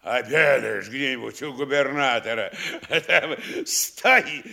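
An actor playing a boastful middle-aged provincial mayor in a classic Russian stage comedy for audio, blustering and exclamatory, swinging from glee to threats. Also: male voice, medium pitch, slow pace, vocal fry, pushed and bright, heavily acted. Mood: gloating amusement.

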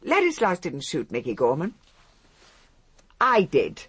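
A bold, titled Englishwoman, middle-aged, with an upper-class accent. Her husky voice swings widely in pitch as she speaks with haughty, daring flair. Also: wildly swooping pitch, unhurried, fairly bright tone, heavily acted. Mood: defiant, exultant. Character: bold, haughty aristocratic mother, secretly a crime boss. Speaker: actor in a radio mystery drama. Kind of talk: British radio drama acting.

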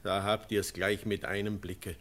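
An actor playing a cautious, fearful older village elder in a classic German radio play, speaking in hushed, anxious tones. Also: male voice, fairly low pitch, little pitch movement, unhurried. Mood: grave.

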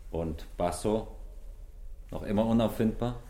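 Evasive married clerk: fairly low pitch, guarded, careful with words, 1960s radio play. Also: male voice, unhurried, warm tone. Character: evasive, uneasy married man, petty office clerk.

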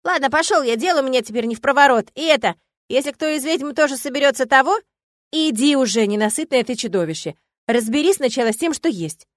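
A woman voicing a gruff, kindly old male grove spirit who clears his throat and grumbles. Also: high voice, lively intonation, fast talker, no room sound, clean studio sound, voice pushed up. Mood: grumbling.

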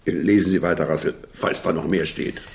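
A man's voice: extremely low pitch, fairly even intonation, a little fast, far from the mic, slight background noise. An actor playing a brusque, sardonic man in authority in a 1970s German radio crime play, giving curt orders. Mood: curt, sceptical.

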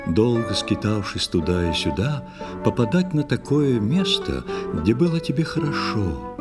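Very deep male storyteller, expressive. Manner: lyrical, measured, expressive storyteller. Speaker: narrator in a children's musical audio play. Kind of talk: dramatic verse narration, audio storytelling. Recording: music under the voice. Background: musical instruments playing.

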